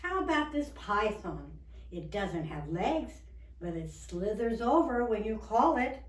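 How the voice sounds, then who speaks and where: A woman's voice: medium pitch, very animated, unhurried, reverberant, recorded from a distance, pitched above the performer's own voice. A woman voicing an eager, pushy man who runs an animal shelter, coaxing like a salesman in a storybook reading. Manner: coaxing, exclamatory sales patter.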